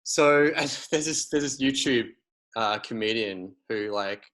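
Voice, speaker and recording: A man's voice: mid-range voice, a little slow, a bit bright. A man, hosting a casual conversation and rambling with many fillers and restarts.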